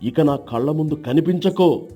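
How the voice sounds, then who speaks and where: A man's voice: fairly high pitch, moderate pace, mellow, faint music behind, lightly acted. A man playing a proud, unforgiving village husband in a storybook tale, curt and commanding. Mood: stern anger. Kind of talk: storybook character dialogue.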